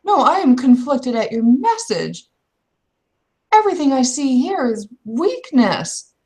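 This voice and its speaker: A woman's voice: high voice, very animated, a little slow, dark tone, heavily acted. A woman playing a scornful, combative young werewolf warrior in a tabletop game, blunt and insistent. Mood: scornful, frustrated.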